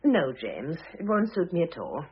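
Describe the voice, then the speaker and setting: Middle-aged former wife, radio play: dry, wounded but self-possessed, lively intonation, thin muffled vintage sound. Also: female voice, low voice, moderate pace, breathy edge, heavily acted. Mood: calm, firm. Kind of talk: vintage radio drama acting. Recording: muffled, narrow-band old radio recording.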